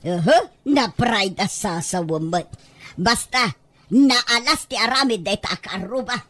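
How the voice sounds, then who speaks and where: A woman's voice: fairly high pitch, expressive, a little slow, fairly bright tone, no room sound. A woman playing a scornful middle-aged mother in an audio drama, complaining about a neighbor with open disdain. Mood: bitter, disapproving.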